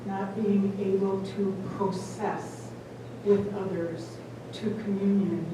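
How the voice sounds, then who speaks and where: A woman in the audience offering a thoughtful, halting comment at a parish talk. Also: mid-range voice, flat delivery. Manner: halting, thoughtful, many pauses. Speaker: audience member. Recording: echoey hall, distant mic, noisy.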